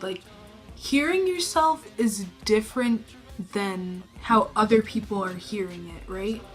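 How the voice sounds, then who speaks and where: Teen girl vlogger, low flat-ish subdued talk, rambling, faint music under. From New York State. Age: teens. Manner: low subdued voice, self-conscious, rambling with many fillers. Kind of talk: casual rambling to camera. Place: her bedroom. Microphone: camera in her room.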